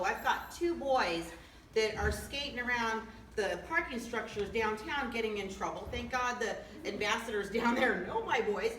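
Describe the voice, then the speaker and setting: A woman's voice: medium pitch, a bit bright. A middle-aged woman, a local resident, giving an earnest, pleading public comment at a community meeting. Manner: earnest, pleading, slow emphatic stresses. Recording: echoey hall, voice from far off, faint noise.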